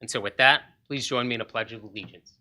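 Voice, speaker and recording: A man's voice: mid-range voice, quick pace, a crowd in the background. A man from Zambia and Zimbabwe giving a short, earnest inspirational talk at a club meeting podium. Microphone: podium microphone.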